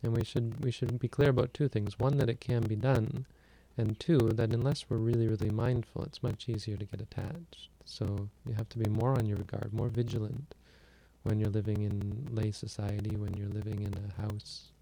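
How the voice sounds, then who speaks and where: A man giving a relaxed, plainspoken meditation talk and answering questions on a livestream. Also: lowish voice, vocal fry, a little hiss or hum, rough recording. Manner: plainspoken, unhurried, loosely conversational. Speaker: meditation teacher.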